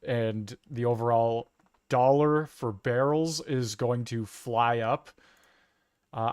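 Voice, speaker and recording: A man's voice: mid-range voice, no room sound, studio quality. A man with a Canadian accent giving casual, opinionated live political commentary as an informal co-host.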